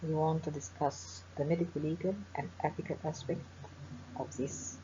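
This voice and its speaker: A middle-aged woman with an Egyptian accent gives a formal webinar lecture as a professor, speaking in measured phrases with frequent pauses and fillers. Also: deep voice, steady tone. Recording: dull lo-fi webinar audio with faint hiss.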